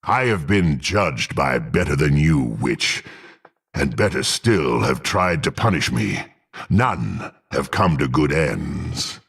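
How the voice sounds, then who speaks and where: A menacing half-orc warrior, with a very deep, gravel-filled and raspy voice. He speaks slowly and haughtily, dripping scorn as in a fantasy video game. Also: big pitch swings, a bit bright, heavily acted. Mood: cold contempt. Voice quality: gruff, gravelly.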